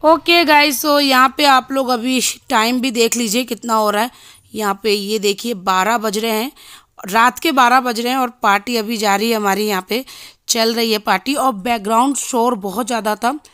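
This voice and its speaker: A woman in her thirties with a hoarse voice, chatting casually to viewers in a home vlog, filmed on a handheld phone. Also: on the high side, steady tone, moderate pace, fairly bright tone, no room sound, clean studio sound. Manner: chatty, addressing viewers directly. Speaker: vlogger. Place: home kitchen and living room. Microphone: handheld phone selfie.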